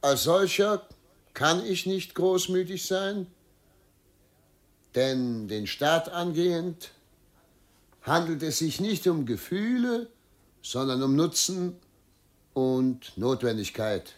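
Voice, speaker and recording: A slow, dignified governor. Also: male voice, a little high. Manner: deliberate, formal, dictating in measured phrases. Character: dignified, principled, unbending male provincial governor. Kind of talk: German radio drama acting.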